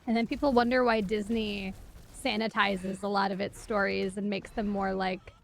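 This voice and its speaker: A young woman chatting casually on a game stream, wry, animated and sweary, close on the mic. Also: mid-range voice, moderate pace, gravelly, a little hiss or hum, poor audio. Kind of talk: casual live stream chat while gaming.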